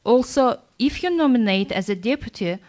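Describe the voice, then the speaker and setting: A woman's voice: on the high side, lively intonation, normal speed, darkish tone. A woman from Azerbaijan making an earnest formal statement as a participant at a conference session. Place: large conference hall.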